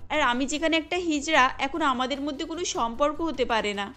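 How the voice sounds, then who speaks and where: Woman voicing a high, plaintive cartoon young hijra. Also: a little fast, sharp tone. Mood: reluctant, troubled. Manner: plaintive, questioning. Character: sad, hesitant young hijra longing for motherhood. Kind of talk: cartoon story dialogue. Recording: music behind the voice.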